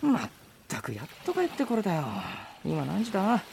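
A man's voice: high-pitched, moderate pace, creaky, full character voice. Guy doing a fed-up blunt young dude in radio comedy, voice jumps around, bit of noise behind. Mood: weary, grumbling. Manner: deadpan, exasperated retorts. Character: grumpy, blunt young man, soft at heart. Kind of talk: comedic radio drama acting.

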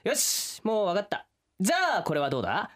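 A man's voice: high voice, wildly swooping pitch, fairly bright tone, exaggerated voice. A man playing an exasperated, earnest young folk-tale hero in a comic radio drama, protesting and pleading. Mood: coaxing. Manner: whiny, pleading, quick to protest.

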